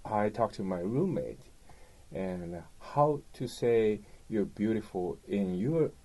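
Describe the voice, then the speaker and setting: A man's voice: lowish voice, lively intonation, takes it slowly, mellow. A man co-hosting a podcast, telling stories casually in informal phrasing full of "you know". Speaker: co-host guest producer.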